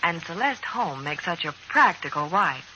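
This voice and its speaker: A woman's voice: fairly low pitch, very animated, normal speed, highs cut off. A woman delivering a scripted, chatty 1940s radio commercial as an announcer. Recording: bright, noisy old radio recording.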